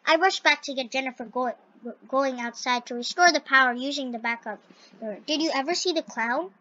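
A young female gamer with a scratchy voice, commenting on a game and reading its dialogue aloud in an excitable, halting way. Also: high voice, no room sound. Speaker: gaming video host. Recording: dull, lo-fi close microphone.

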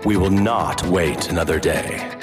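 A man's voice: low voice, measured pace. An American man delivering scripted advertisement narration as a commercial voice-over actor. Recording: studio voice-over with music bed. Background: music.

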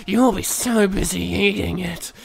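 A young man voicing a loud, boastful, excitable male skeleton, shouting in a gravelly voice. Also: sits high, unhurried, a crowd in the background. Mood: triumphant. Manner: theatrical, exclamatory game dialogue reading.

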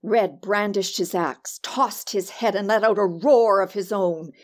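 A woman reading a first-person short story as an audiobook narrator, with expressive, dramatic delivery. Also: medium pitch, a little slow.

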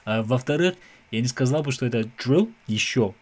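A young man from England, a native English speaker with an English accent, giving casual reaction commentary in an animated, slangy way with English interjections. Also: mid-range voice, moderate pace. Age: young adult. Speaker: reaction video host. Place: small room at home. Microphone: desk microphone close in front, headphones on.

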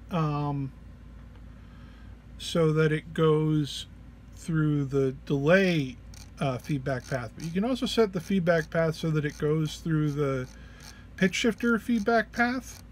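Man, fairly high voice, slow hesitant delivery, under the weather, informal demo, noisy recording. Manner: hesitant, halting, searching for words. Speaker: musician demonstrating an effects pedal patch. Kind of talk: informal gear walk-through. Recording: clear background noise.

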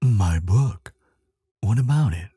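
A man playing a gentle, affectionate giant in an intimate ASMR audio roleplay, soothing and earnest, murmuring tenderly with soft hums and sighs. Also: fairly low pitch, expressive, takes it slowly, slightly rough, dry recording, studio quality, full character voice. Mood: earnest, thoughtful.

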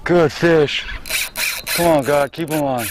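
A young man in excited self-talk while kayak fishing on open water, exclaiming and repeating short phrases. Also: on the high side, slow pace. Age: young adult. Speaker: kayak angler, vlog host. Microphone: action camera on kayak. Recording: noisy outdoor action camera on water.

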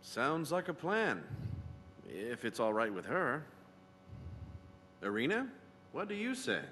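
A man's voice: medium pitch, sing-song, slow pace, sharp tone, full character voice. An actor playing a courteous, businesslike male academy scholar in a video game cutscene. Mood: polite, thoughtful. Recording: very reverberant hall, clear background noise.

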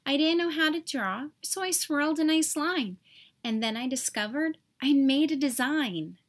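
A woman voicing a friendly, reassuring male pencil in a gentle, encouraging manner. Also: high-pitched, lively intonation, normal speed. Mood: proud, friendly.

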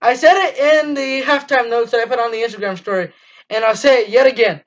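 A man's voice: very high voice, fairly quick. A teenage boy hosting a casual sports review from a small dim room, talking enthusiastically with lots of ums and restarts. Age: teens. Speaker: fan channel host. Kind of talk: casual postgame review monologue. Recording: dull lo-fi webcam audio.

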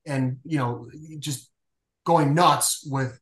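A man's voice: mid-range voice, takes it slowly. Venezuelan-born man on an echoey call. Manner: relaxed, hesitant with many filler uhs. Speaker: payments executive guest. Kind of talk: casual video call chat. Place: home room, indoors. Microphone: video call webcam.

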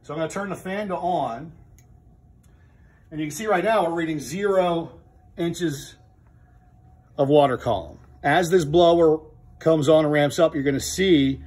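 A man's voice: a little high, a little slow, pauses often, darkish tone. A middle-aged man teaching HVAC in a training room, explaining step by step with plain, methodical talk and frequent restarts. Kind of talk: hands-on technical demonstration. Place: indoor training room with a furnace.

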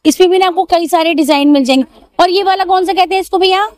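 High-voiced woman touring a street market. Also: quick pace, darkish tone, no room sound, studio quality. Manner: chatty, repeats each price to the viewer. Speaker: shopping vlogger. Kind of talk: market walkthrough vlog.

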